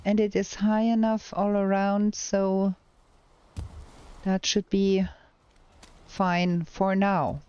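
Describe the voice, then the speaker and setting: German-accented woman streamer, unhurried, smooth clear voice on dull lo-fi audio, chatty gameplay commentary. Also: medium pitch, level tone, mellow, dry recording. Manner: slow, thinking aloud while playing. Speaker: game streamer. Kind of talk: casual gameplay commentary with chat replies.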